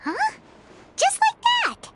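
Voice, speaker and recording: A woman voicing a tiny, cheerful, childlike fairy companion in a video game, squeaky and exclamatory. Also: huge pitch swings, slow pace, very noisy, full character voice. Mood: perky, surprised. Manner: exclamatory, speaks of self in third person.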